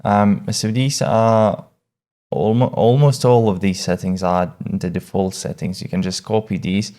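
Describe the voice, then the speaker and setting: Slow, low-voiced man giving a tutorial. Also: steady tone, dark tone. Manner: hesitant, halting delivery with repeated words. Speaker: tutorial presenter. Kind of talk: casual software tutorial narration.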